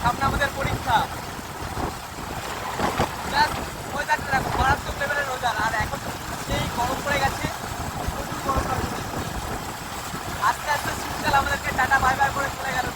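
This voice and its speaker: A man's voice: extremely high pitch, little pitch movement, normal speed, pauses often, very noisy. A young man, chatting casually to viewers as a vlogger and often repeating himself, recorded outdoors. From West Bengal, India. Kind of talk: casual vlog narration to viewers. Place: outdoors in an open rural area.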